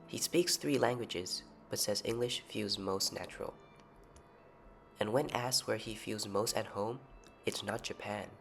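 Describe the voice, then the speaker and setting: A man's voice: lowish voice, unhurried, gravelly, fairly bright tone. A man hosting a podcast, reading scripted narration and asking his guests short questions. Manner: reads narration evenly, asks short questions. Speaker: podcast host. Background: music playing underneath.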